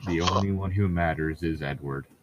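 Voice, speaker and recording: A man's voice: low-pitched, moderate pace, dull audio, noisy background. A man co-hosting a read-along show, switching between reading passages aloud and casual, sarcastic, sweary commentary. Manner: sarcastic, profane.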